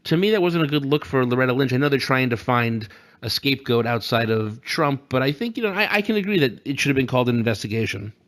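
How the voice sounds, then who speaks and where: A man's voice: medium pitch, fast, a bit bright. A man co-hosting a podcast with casual, joking political commentary full of teasing asides. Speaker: podcast co-host.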